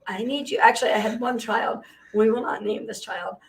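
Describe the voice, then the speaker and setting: A middle-aged woman co-hosts a casual live podcast. Her voice is hoarse, scratchy and gravelly from illness, and sits a little high. She laughs easily and tells chatty stories, picked up from a bit back off the mic. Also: steady tone, fairly quick, far from the mic. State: hoarse from being sick. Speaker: podcast co-host.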